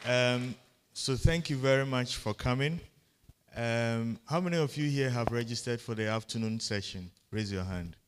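A man moderating an event, making brisk announcements to a hall audience. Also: mid-range voice. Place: large lecture hall. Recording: lecture hall reverb with faint noise.

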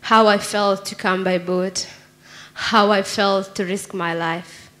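A young woman from Somalia reads her own poetry aloud, her English shaped by her native Somali. She speaks in an earnest, measured way into a handheld microphone on a small theatre stage. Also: mid-range voice, fairly even intonation, fairly bright tone. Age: young adult. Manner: earnest, measured, reading from paper. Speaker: poet. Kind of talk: spoken word poetry reading. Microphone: handheld mic.

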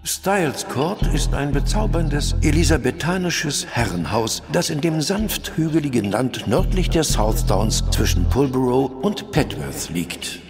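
A man's voice: medium pitch, big pitch swings, measured pace, vocal fry, few pauses. A man playing a self-assured Victorian master detective in a radio play, speaking in a formal, urbane way. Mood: urbane, descriptive. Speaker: lead actor in an audio drama. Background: music.

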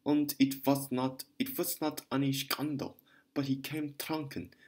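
A man reading aloud voicing a flustered, fawning German landlady who complains in a rapid patter with broken grammar and a strong German accent. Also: mid-range voice, flat delivery, a little mellow, close to an everyday voice. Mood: indignant pattering.

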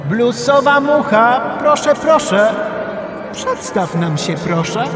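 An actor playing a pompous, mocking talent show host in a children's audio play. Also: male voice, extremely high pitch, lively intonation, slow, a crowd in the background, background noise, full character voice. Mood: officious. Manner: officious, mocking. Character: pompous, fussy male talent contest host in insect world. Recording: echoey hall with music behind.

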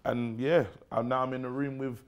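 A man's voice: mid-range voice, moderate pace, highs cut off. A man in his thirties with a London accent, telling casual stories with laughter. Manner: animated, laughing anecdotes. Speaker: comedian guest. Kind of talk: casual storytelling. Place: football-themed studio.

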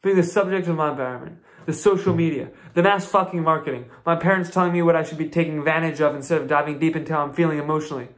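A man's voice: fairly high pitch, steady tone, racing, hardly any pauses. A man in his twenties improvising a searching, intense spoken-word monologue in a small bedroom, recorded on a close laptop mic. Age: 20s. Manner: searching, intense, rising into emphatic outbursts. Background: acoustic guitar strumming.

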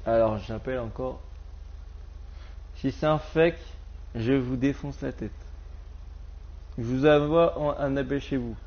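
A man's voice: medium pitch, measured pace, pauses often. Cheeky Frenchman, dull lo-fi audio. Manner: cheeky, slangy. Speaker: prank caller and live streamer. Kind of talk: casual prank-call banter over gameplay. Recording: dull lo-fi live stream audio.

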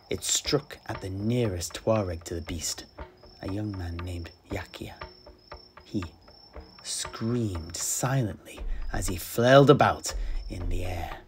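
A man telling a fantasy tale in character, theatrical and measured, with dramatic pauses and stresses. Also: sits low, background noise. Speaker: storyteller, in-character tavern keeper. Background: plucked-string music.

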